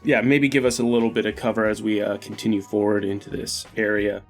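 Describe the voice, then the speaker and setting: A man playing a tabletop game, talking casually and haltingly as he thinks aloud. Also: on the low side, level tone, faint music behind.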